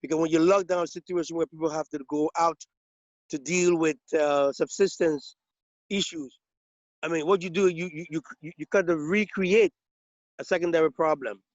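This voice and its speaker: A man from Liberia speaking up in a webinar, making a long, halting comment full of restarts and repeated words over a video call. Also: on the high side, fairly even intonation, no room sound. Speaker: webinar participant. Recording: dull, lo-fi video call audio.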